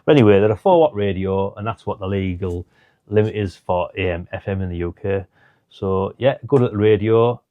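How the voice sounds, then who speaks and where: Middle-aged British man, lowish relaxed voice, informal hobbyist reviewer chatting through gear, close dry recording. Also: fairly quick, no echo, phone-quality sound. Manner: chatty, informal, thinking aloud. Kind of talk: casual product rundown to camera.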